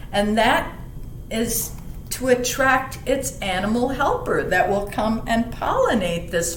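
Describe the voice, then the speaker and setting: Female library story reader for kids, slow explanatory delivery, mid pitch, echoey recording with slight rumble. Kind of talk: read-aloud children's lesson with explanations. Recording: roomy echo, faint rumbling background noise.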